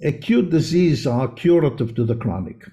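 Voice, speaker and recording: Man, older, dark mellow tone, lecturing, emphatic, interview guest. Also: mid-range voice, very animated, moderate pace. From South Africa. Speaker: podcast guest, homeopath. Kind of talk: conversational interview.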